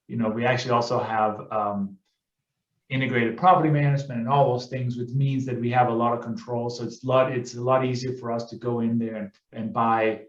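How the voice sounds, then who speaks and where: A middle-aged man from Denmark, presenting on a webinar with many fillers and self-corrections. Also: mid-range voice. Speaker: guest presenter, real estate investor. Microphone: video call. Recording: echoey, dull webinar audio.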